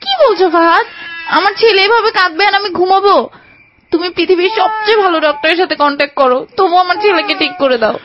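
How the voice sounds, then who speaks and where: A woman's voice: very high voice, fast, background noise. An actress playing an anxious young mother, fretful and pleading over her sick baby in an audio drama. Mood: tearful, desperate. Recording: dull lo-fi audio, faint music.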